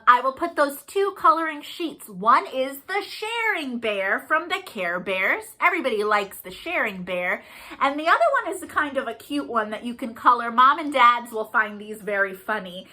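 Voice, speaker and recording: Bright, high-voiced woman hosting children's storytime. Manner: expressive, encouraging, simple sing-song address to children. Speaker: children's librarian leading musical storytime. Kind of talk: animated children's storytime, reading, explaining and singing to young children.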